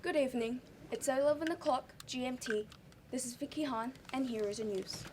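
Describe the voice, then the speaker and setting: Casting: woman as formal TV newsreader, high voice, amateur stage play, clear background noise. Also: brisk, a little mellow, lightly acted. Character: formal female television news anchor. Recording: noisy live stage recording.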